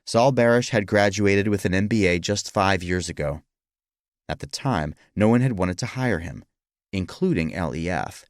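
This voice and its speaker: A man's voice: sits low, dry recording, studio quality. A man reading scripted historical fiction as a dramatic audiobook narrator.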